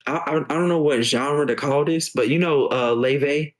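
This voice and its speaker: A man's voice: on the high side, moderate pace. A man in his twenties, a musician guest chatting casually on a podcast, laid-back and full of slang. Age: 20s. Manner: laid-back slang, says bro a lot.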